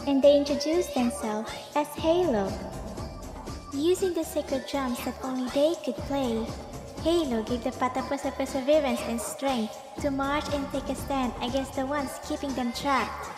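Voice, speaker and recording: High-voiced woman narrator in deep reverb. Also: normal speed. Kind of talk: scripted storybook narration of a fantasy legend. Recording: echoey long reverb, music behind. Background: music.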